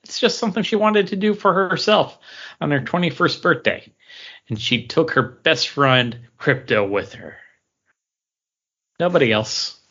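A man's voice: on the high side, measured pace, long pauses, bright, forceful tone, slightly rough. A man co-hosting a podcast, chatting casually as he reviews comic books. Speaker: podcast co-host. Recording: dull lo-fi podcast audio.

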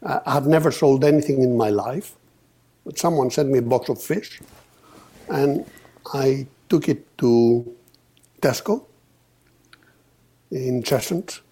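A man in his fifties from Greece, a podcast guest telling his story with many pauses and restarts. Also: medium pitch, mellow, breathy edge. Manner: hesitant, many uhs and restarts. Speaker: podcast guest, company founder. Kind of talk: casual storytelling interview.

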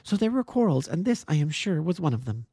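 An earnest, impassioned young woman pleads her case in a high, insistent voice, as a male narrator puts her on. The voice has a mellow tone and is recorded dry and close. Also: sing-song, fairly quick, husky, no room sound, heavily acted. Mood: pleading. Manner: breathless and insistent, rising high. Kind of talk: audiobook character voice.

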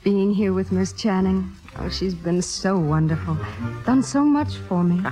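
An actress playing a gushing, humble young would-be actress in a 1950s radio drama, hesitant and earnest. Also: female voice, medium pitch, unhurried, over music, natural voice, poor audio. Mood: grateful. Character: demure, gushing young woman, an aspiring actress.

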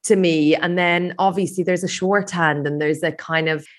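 Irish woman chatting as a podcast guest, lots of laughs, lowish voice. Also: fairly even intonation, moderate pace. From Ireland. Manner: chatty, laughing often, frequent restarts. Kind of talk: casual chat.

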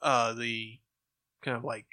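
A man's voice: medium pitch, flat delivery, slow pace, bright, forceful tone, no echo. A man co-hosting a podcast, casually reviewing stories in a slangy way and acting out imagined reactions as he talks. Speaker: podcast co-host. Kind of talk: casual review chat about old-time radio.

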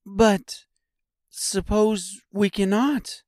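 A man voicing a sad, tender little girl, plaintive and gentle, in a storybook reading. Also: high-pitched, steady tone, drawn-out words, dry recording, studio quality, voice pushed up. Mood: worried.

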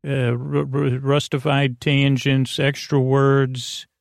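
A man hosting a sleep podcast, rambling soothingly and hesitantly in a creaky, lulling voice and losing his thread as he goes. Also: mid-range voice, little pitch movement, slow, no echo, clean studio sound. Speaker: podcast host. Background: music.

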